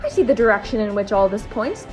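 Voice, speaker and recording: A woman's voice: medium pitch, very animated, fast talker, soft background music, lightly acted. A woman playing a loyal, inquisitive detective's companion and storyteller in an amateur audio drama, earnest and literary. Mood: curious, admiring. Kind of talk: amateur audio drama acting and scripted narration.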